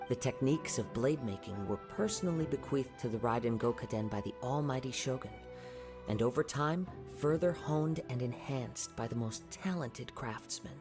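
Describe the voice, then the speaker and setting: A man voicing a calm, humble, polite young samurai in a video game, speaking formally and gently. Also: mid-range voice, moderate pace, close to an everyday voice. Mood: explanatory. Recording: game music underneath.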